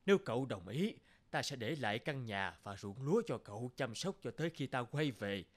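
A man's voice: medium pitch, sing-song, normal speed. A man voicing a middle-aged samurai lord in a cartoon, authoritative and urgent. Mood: earnest request.

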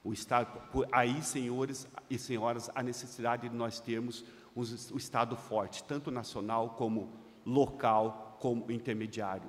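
A middle-aged man from Brazil delivers a prepared address at a panel table, speaking into a desk microphone. He leans into key phrases, growing louder and slowing to stress his points. His voice carries a long hall reverb from the large conference room. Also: medium pitch. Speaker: seminar speaker. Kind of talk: formal conference speech read from notes. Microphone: desk microphone on a stand.

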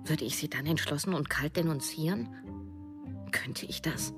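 A woman's voice: sits low, normal speed. An actress playing a self-doubting woman in her thirties, narrating her own story reflectively in a radio drama. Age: 30s. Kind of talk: scripted first-person narration. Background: music.

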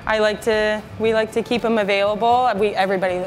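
A woman's voice: mid-range voice, fairly even intonation, quick pace, rough recording. Woman in her thirties, cookie decorator, friendly and modest, music in the cafe. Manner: friendly, laughing often, modest. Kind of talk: casual interview answers. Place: small cafe, indoors. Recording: cafe sound with music behind.

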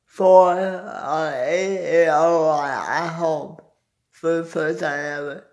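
A young man giving a casual sports recap to his phone at home, halting as he searches for words. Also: fairly high pitch, steady tone, a little mellow. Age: young adult. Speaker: sports vlogger. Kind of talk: casual postgame basketball recap. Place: small indoor room at home. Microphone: phone at arm's length.

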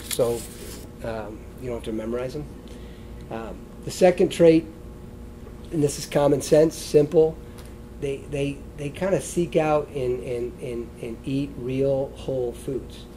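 A middle-aged American man gives an informal health talk, sounding mellow and a bit stuffed up with congestion. He speaks conversationally and wanders loosely between points. There is a faint rumbling noise behind him. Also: fairly even intonation, measured pace, low-quality recording. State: congested, sniffly. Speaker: chiropractor giving a wellness talk. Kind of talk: informal seated lecture. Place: indoor stage beside a wooden lectern, seated.

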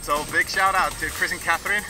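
A man's voice: sits high, fairly even intonation, a little fast. A Dutch man in his thirties with a Dutch accent, chatting enthusiastically to a handheld action camera outdoors by the sea. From Netherlands. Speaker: vlogger. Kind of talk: casual travel vlog. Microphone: action camera held at arm's length. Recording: music playing underneath.

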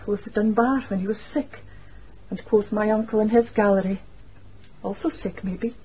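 An actress playing an earnest, troubled young Scottish niece in a radio drama. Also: female voice, mid-range voice, fairly even intonation, moderate pace, natural voice. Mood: sombre recitation. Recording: muffled radio drama, faint noise.

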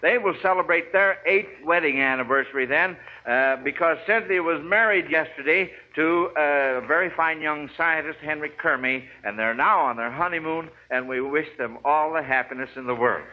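A man's voice: on the high side, normal speed, few pauses. An American man hosting a 1940s radio quiz in a folksy, encouraging, avuncular way. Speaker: quiz show host. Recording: dull 1940s radio recording.

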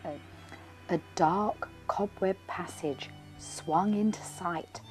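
A middle-aged woman reading a rhyming children's story aloud with dramatic, deliberate phrasing. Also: on the low side, a little mellow, faint music behind. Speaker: children's author reading own book. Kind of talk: expressive read-aloud storytelling in rhyming verse.

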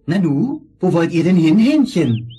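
Fairy-tale audio fox: sly glutton, lively swooping pitch, rough husky voice, mocking. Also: male voice, a little slow, exaggerated voice. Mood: sly curiosity. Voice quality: husky, raspy. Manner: gloating, mocking. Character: greedy, sly, gloating big male fox. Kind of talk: children's fairy-tale radio play acting.

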